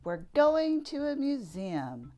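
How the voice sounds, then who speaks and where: A woman voicing a cheerful grown-up mother pig in a storybook, announcing plans brightly. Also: high-pitched, very animated, slow pace, faint music behind, pitched above the performer's own voice, heavily acted.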